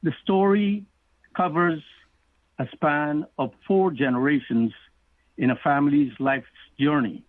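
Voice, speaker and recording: A middle-aged man from Guyana, an author answering interview questions in a measured, explanatory way. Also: a little high, steady tone, dry recording. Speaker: author and guest. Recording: tinny phone line.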